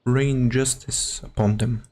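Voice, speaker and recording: A man's voice: medium pitch, fairly even intonation, takes it slowly, darkish tone, slight background noise. A man streaming a game and giving casual, short reactions as he plays. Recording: game music behind the voice.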